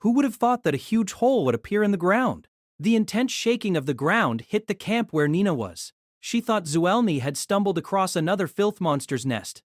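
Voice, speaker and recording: A woman's voice: mid-range voice, sing-song, normal speed, no room sound, studio quality. A woman narrating a scripted novel as a dramatic storyteller.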